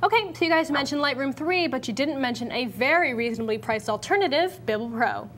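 A woman's voice: high-pitched, lively intonation, brisk, a bit bright, a little hiss or hum. A young American woman co-hosting a tech show in a casual, playful, informal way. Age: young adult. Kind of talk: casual studio presenting and banter. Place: indoor TV studio at a desk. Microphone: lapel mic.

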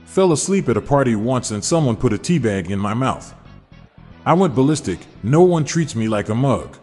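A man's voice: medium pitch, big pitch swings, moderate pace, a little mellow, in a large hall, faint music behind. A man hosting a podcast, reading dad jokes in an upbeat way and chuckling at his own punchlines. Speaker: podcast host.

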